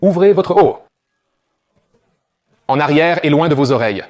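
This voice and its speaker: A man's voice: fairly high pitch, animated, a little slow, a little mellow. A man coaching viewers through a back exercise, giving direct step-by-step instructions on form. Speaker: fitness coach.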